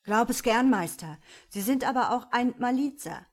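A woman's voice: on the high side, expressive, moderate pace, pitched above the performer's own voice. A woman voicing a timid male will-o'-the-wisp spirit, out of breath, polite and eager in a slightly raised voice. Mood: musing. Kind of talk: audiobook character voice.

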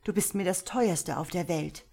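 A woman's voice: lowish voice, normal speed, vocal fry, warm tone. A woman voicing a brave, loyal young lad in a fairy tale, speaking with urgency and emphasis. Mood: devoted.

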